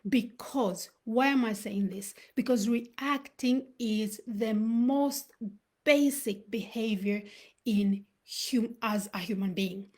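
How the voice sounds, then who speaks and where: Husky-voiced woman giving a reflective talk. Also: on the high side, a little mellow. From Uganda, raised in Sweden. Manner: reflective, storytelling with pauses. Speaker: host. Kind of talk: motivational live talk.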